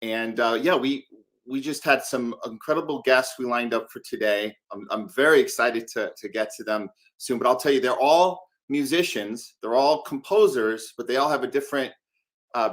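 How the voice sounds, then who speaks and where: A man's voice: medium pitch, fairly bright tone. Conversational man hosting a live show. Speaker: show host.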